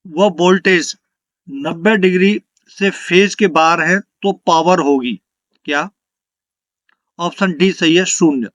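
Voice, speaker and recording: Man, higher-pitched voice, dry close sound, exam coaching delivery, repetitive instructional phrasing. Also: a bit bright, no room sound. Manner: instructional, repeats questions and answers for emphasis. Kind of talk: exam question lesson, reading questions and answers.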